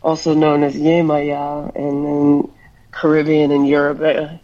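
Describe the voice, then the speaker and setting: A woman's voice: sits low, monotone, unhurried, mellow, highs cut off. A woman from Hawaii, a musician guest in a casual interview, talking reflectively and rambling with fillers.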